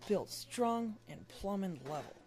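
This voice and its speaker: A woman's voice: mid-range voice, lively intonation, a little slow, a little mellow, faint music behind. A woman playing a scholarly, wry squad member in an audio drama. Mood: reciting.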